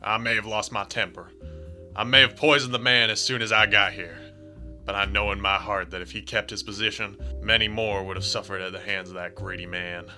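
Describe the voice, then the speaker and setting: A man's voice: lowish voice, steady tone, a little fast, pushed and bright, soft background music. A man playing an indignant, self-righteous small-town sheriff in a radio drama, folksy and defiant. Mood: defiant, resigned.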